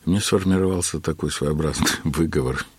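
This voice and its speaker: Very deep, husky-voiced male storyteller. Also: no echo. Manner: unhurried anecdotal telling, with many filler words. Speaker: interview guest, keyboard player. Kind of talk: storytelling in a conversational interview.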